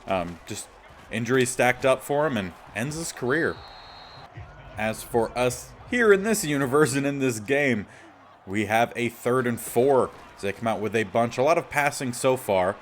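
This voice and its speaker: A man with a slightly raspy voice giving casual gameplay commentary as the host of a gaming series. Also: medium pitch, lively intonation, normal speed, a little hiss or hum.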